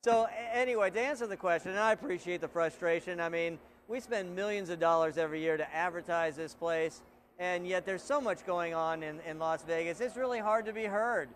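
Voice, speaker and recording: Chatty higher-voiced male show host. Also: brisk, fairly bright tone, slight background noise. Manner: loose, chatty, frequent restarts. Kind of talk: casual hosting chat.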